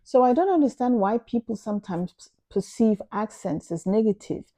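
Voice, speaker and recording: Earnest Nigerian woman with a mellow voice. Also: a little high, lively intonation. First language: Igbo. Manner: earnest, emphatic, measured explanation. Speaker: vlogger. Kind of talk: opinion monologue to camera.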